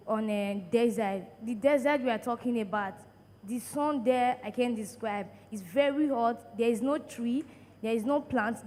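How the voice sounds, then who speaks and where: Nigerian woman telling her story, echoey hall. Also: a little high. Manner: plain, steady storytelling. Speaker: church testimony speaker. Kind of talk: personal testimony. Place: large church hall. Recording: echoey hall with faint room noise.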